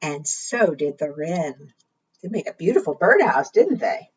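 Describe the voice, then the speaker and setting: A woman leading a preschool storytime, reading aloud and talking to young children in an expressive, deliberate, teacherly way. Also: low voice, breathy edge. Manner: asks the young listeners frequent simple questions. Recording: muffled, distant microphone.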